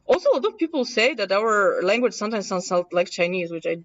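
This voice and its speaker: A woman from Poland with a mild Polish accent, casually teaching a workshop and thinking aloud as she demonstrates software. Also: mid-range voice, sing-song, quick pace. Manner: chatty, self-correcting, thinking aloud. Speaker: workshop presenter. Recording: distant, dull room recording.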